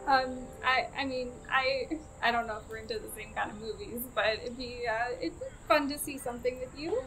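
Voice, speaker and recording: A woman's voice: a little high, fairly quick, fairly bright tone, soft background music, rough recording. A young woman playing a keen, shy date in an improvised soap opera, hesitant and friendly. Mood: shyly happy. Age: young adult.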